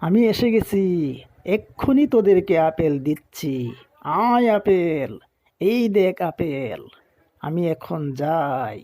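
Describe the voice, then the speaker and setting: A man voicing a calm, deliberate hermit guru in a cartoon. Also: a little high, no echo. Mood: calm, obliging. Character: hermit guru bringing a promised gift. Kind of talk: Bengali cartoon character dialogue.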